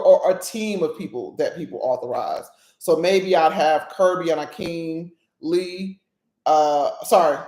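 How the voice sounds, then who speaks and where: A woman's voice: low-pitched, a little mellow, reverberant. A woman hosting a casual solo podcast, talking emphatically, often checking in with her listeners and laughing at her own jokes. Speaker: podcast host.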